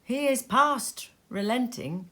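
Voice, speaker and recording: A woman voicing a careworn, earnest young husband in a storybook reading, hesitant yet quietly relieved. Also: on the high side, expressive, takes it slowly, pitched above the performer's own voice. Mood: gently hopeful.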